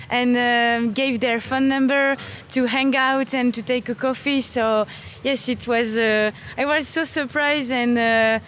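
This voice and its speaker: A young woman from France, a student answering interview questions in halting English with many fillers. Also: on the high side, fairly even intonation, fairly bright tone, slight background noise. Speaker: exchange student.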